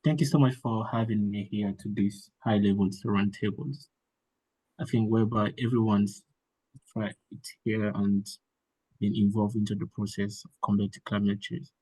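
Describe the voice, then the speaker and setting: A man's voice: fairly low pitch, fairly even intonation, moderate pace, dark tone, breathy edge, no room sound, rough recording. A young man with a West African accent, speaking English as an additional language, formally introducing himself as an online panelist. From Guinea, West Africa. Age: young adult. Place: indoor room, video call. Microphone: close to laptop on video call.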